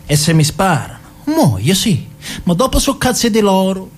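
Mafia killer, southern Italian accent, high voice, swinging intonation, crude, impatient, menacing, radio drama. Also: male voice, wildly swooping pitch, moderate pace, husky, soft background music, heavily acted. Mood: boastful, menacing. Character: bloodthirsty, swaggering mafia hitman, crude and impatient. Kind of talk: stage-style radio drama.

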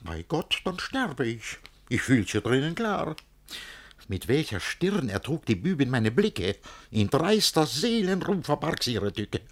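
An actor playing a jealous, lovesick older master who declaims rhymed verse in a classic German radio drama. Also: male voice, mid-range voice, very animated, unhurried. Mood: brooding, despairing. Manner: theatrical rhymed verse declamation, swinging from brooding to outraged.

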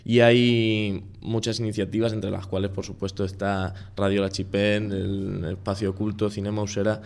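Young man, low and smooth, loose and rambling guest. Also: moderate pace. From Spain, La Mancha. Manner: relaxed, rambling with frequent restarts and fillers. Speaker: musician guest. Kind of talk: casual radio interview.

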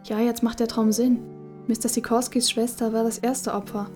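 A woman's voice: fairly high pitch, level tone, normal speed, a little mellow, soft background music, lightly acted. A woman playing an earnest, spirited boarding-school girl in her late teens in an audio drama. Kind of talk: German audio drama acting.

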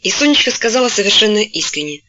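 Bright-voiced woman reading a novel aloud. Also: mid-range voice, very animated, fast, muffled recording. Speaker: audiobook narrator. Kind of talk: scripted literary narration.

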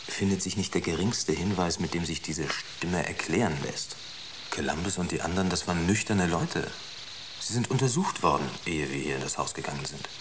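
Male actor as sceptical spaceship scientist, low voice, matter-of-fact, clipped phrases, radio play, background noise. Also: natural voice. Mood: puzzled, sceptical. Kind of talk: naturalistic radio drama acting. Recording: noisy radio drama recording.

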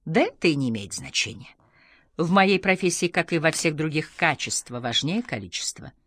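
A woman voicing a cool, self-assured middle-aged female crime novelist, speaking in a measured, serious, deliberate way in an audiobook. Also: low voice, animated, a bit bright, dry recording. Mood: smug, composed.

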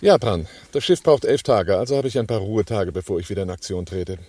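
A man's voice: on the low side, expressive, quick pace, warm tone, no echo. An actor playing a cool, wry and self-reliant male arms smuggler in a radio crime drama. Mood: cool, resolute.

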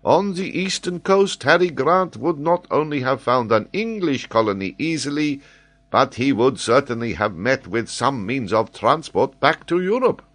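A man voicing an excitable, learned French geographer, speaking eagerly and emphatically as he explains. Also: mid-range voice, moderate pace, pitched above the performer's own voice. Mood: lecturing. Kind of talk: audiobook character voice.

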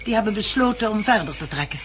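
A woman's voice: medium pitch, lively intonation, fast, natural voice. Stern female leader, played by an actress: curt, authoritative, principled, vintage radio play with light background noise. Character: stern, principled woman leading a survivors' community. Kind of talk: 1960s radio drama acting. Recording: noisy old 1960s radio recording.

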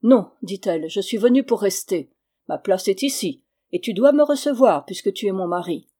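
Woman reading as a defiant, husky peasant wife. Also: medium pitch, expressive, fairly quick, dark tone. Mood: defiant anger. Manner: defiant, angry, emphatic. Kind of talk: dramatic audiobook character reading.

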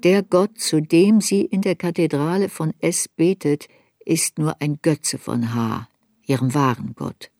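A woman's voice: sits low, unhurried, darkish tone, dry recording, studio quality. A woman playing a reflective narrator who looks back on a girl's story in a literary radio play. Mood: sober, intense.

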